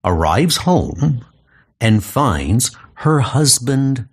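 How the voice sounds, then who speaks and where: A man's voice: mid-range voice, big pitch swings, drawn-out words, breathy edge. A man narrating a dramatized comic-book story as a scripted audio drama.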